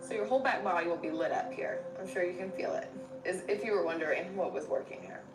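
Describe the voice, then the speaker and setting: A woman's voice: fairly low pitch, fast. A woman guiding a yoga class as instructor, giving encouraging, step-by-step cues. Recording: echoey room, distant mic, music behind. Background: music.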